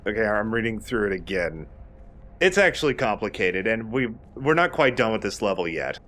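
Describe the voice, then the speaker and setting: A man's voice: lowish voice, very animated, moderate pace, a bit bright. A man giving casual gameplay commentary as the host of a let's play.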